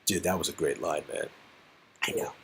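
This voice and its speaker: A man's voice: sits low, moderate pace, a bit bright, breathy edge. A man reading an interactive story game aloud as narrator, with casual joking asides.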